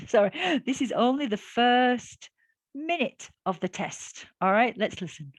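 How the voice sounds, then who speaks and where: A woman's voice: a little high, lively intonation, unhurried, no echo. A woman teaching an online exam-prep class, talking in a chatty, encouraging way with many fillers and audible breaths. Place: video call class.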